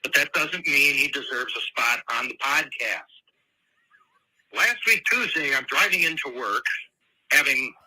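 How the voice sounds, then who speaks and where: A man's voice: mid-range voice, unhurried, pauses often, sharp tone, slightly rough. A man from Milwaukee leaving a wry, mock-desperate voicemail while home sick. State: ill, recovering. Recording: tinny phone voicemail.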